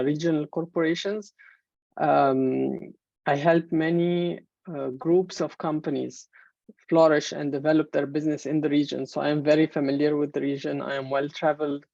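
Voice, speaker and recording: A woman in her thirties from Egypt, a native Arabic speaker, giving a measured presentation in an online webinar with many fillers. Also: sits low, warm tone, no echo. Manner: measured, explanatory, frequent fillers. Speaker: main speaker. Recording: dull, muffled webinar audio.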